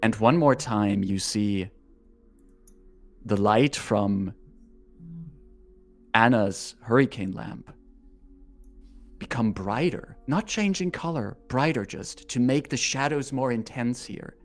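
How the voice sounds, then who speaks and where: A man narrating a tabletop roleplaying game as game master, with deliberate, evocative storytelling. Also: medium pitch, animated, faint music behind.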